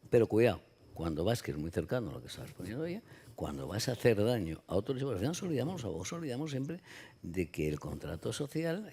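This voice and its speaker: A man's voice: medium pitch, darkish tone, husky. A man giving his opinion off the cuff in a panel debate, emphatic and addressing listeners directly. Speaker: conference panelist.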